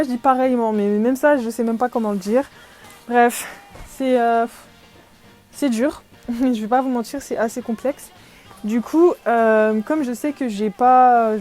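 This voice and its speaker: A woman's voice: fairly high pitch, warm tone. Young woman chatting, self-mocking and giggly. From France. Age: young adult. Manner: chatty and self-deprecating, lots of fillers and laughs. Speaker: vlogger. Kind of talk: casual podcast-style chat.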